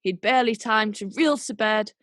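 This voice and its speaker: A woman's voice: on the high side, moderate pace, a bit bright, no echo, studio quality, natural voice. A woman narrating a classic tale as a scripted radio play, in storybook style. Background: music.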